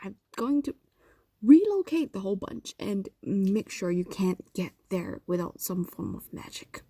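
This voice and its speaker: A woman voicing a possessive, evasive fox demon in an intimate audio roleplay, speaking softly and often dropping into whispers. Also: medium pitch, sing-song, unhurried, slightly rough, no echo, heavily acted. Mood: defensive, stern. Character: possessive, evasive female fox demon doting on captive human.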